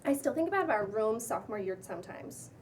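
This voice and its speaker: A woman's voice: a little high, expressive, a little mellow, close to an everyday voice. A woman acting a bubbly influencer in her thirties with an intense streak, gushing and slangy, in a cold script read. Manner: gushing, drawn-out exclamations, casual slangy talk. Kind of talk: cold table read of a comedy pilot. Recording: distant room mic, faint background noise.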